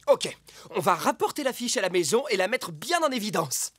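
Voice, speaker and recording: A woman's voice: medium pitch, big pitch swings, brisk, over music, close to an everyday voice. A woman voicing a cocky, naive male fox who poses as a pet dog in a children's cartoon. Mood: breezy, bossy. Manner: boastful, brash. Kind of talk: animated children's cartoon dubbing.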